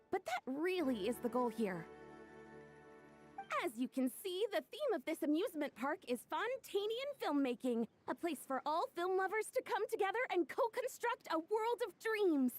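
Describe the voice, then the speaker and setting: A woman playing a young, bubbly inventor and dreamy showwoman in a video game, gushing, playful and theatrical. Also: extremely high pitch.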